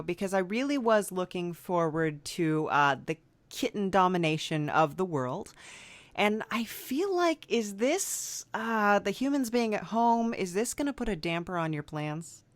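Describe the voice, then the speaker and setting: A woman hosting a casual, playful comedy interview in a halting, sympathetic way. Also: medium pitch, very animated, no echo. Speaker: podcast host and interviewer.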